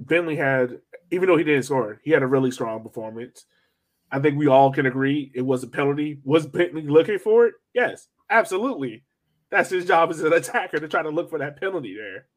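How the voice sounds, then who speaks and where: An American man co-hosting a sports podcast, talking casually through analysis of a soccer match. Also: mid-range voice.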